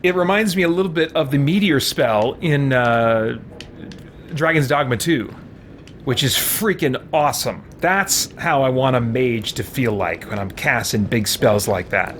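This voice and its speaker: A man's voice: mid-range voice, unhurried, fairly bright tone, slight background noise. A man hosting a gaming video, thinking aloud and exclaiming at the game in casual let's-play commentary. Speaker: gaming video host playing a fantasy RPG.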